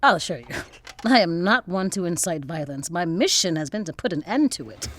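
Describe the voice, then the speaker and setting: Proud, expressive young activist scholar. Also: female voice, lowish voice, fairly quick, a little hiss or hum. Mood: poised, guarded. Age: young adult. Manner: articulate, formal diction. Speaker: voice actor in audio drama. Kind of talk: audio drama acting.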